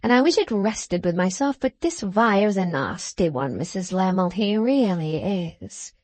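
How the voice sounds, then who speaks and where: Sulky young moneyman, played by a female narrator: flat, grudging, dry. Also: mid-range voice, unhurried, no echo.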